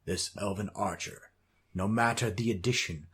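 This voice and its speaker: A man's voice: on the low side, normal speed, fairly bright tone, natural voice. A man playing an omnipotent, quirky supercomputer in a comic radio drama, speaking in pompous, convoluted and oddly formal sentences. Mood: pompous, instructive.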